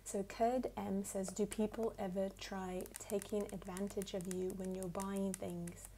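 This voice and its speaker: A woman speaks in a put-on English accent, soft and sometimes slipping into a whisper. Her voice is mellow, with plenty of vocal fry. She hosts ASMR question and answer talk at an unhurried pace, with faint noise and clicks behind her. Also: medium pitch, flat delivery. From United States. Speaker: ASMR video host.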